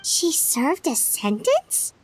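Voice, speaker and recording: Squeaky little childlike character. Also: female voice, wildly swooping pitch, slow, long reverb tail, faint music behind, exaggerated voice, poor audio. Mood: curious. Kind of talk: game voice acting.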